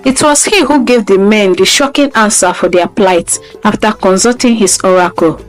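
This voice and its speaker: A woman's voice: mid-range voice, normal speed. A woman storyteller reading a scripted folktale narration. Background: music.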